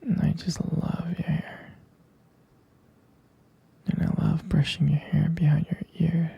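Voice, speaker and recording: Male actor for tender vampire lover, ASMR sleep-aid roleplay. Intimate whisper, heavy creak, flat soothing delivery, halting and doting. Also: fairly high pitch, lo-fi sound, exaggerated voice. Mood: dreamy, doting. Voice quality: breathy whisper with gravelly fry. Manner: slow, halting, softly repeating himself.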